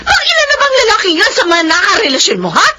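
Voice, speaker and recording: A woman's voice: very high-pitched, big pitch swings, fast, heavy background noise. A woman playing an emotional, protective Filipino mother in a radio drama, pleading and scolding, often on the verge of tears. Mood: exasperated scolding. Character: distressed, scolding, protective mother of a rebellious teenage daughter.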